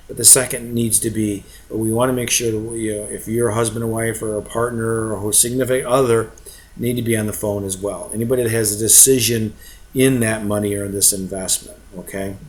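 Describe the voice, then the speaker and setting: Conversational man explaining to prospective investors. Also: medium pitch. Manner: conversational, frequent rhetorical check-ins. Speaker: real estate investment presenter.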